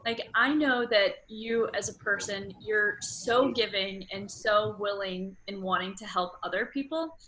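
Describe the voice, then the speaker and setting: Bright-voiced woman hosting a casual interview. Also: mid-range voice, measured pace, hardly any pauses, rough recording. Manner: friendly, effusive. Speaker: interviewer, host. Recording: noticeable background noise.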